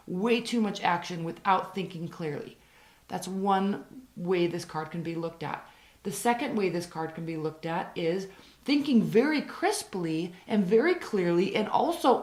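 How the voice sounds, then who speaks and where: A woman reads tarot cards in a raspy, worn-out voice that has been hoarse for days. She talks earnestly, repeats key phrases and slows down on the points she wants to stress. The sound has a noticeable room echo. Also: mid-range voice. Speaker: tarot reader. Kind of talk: tarot reading to camera.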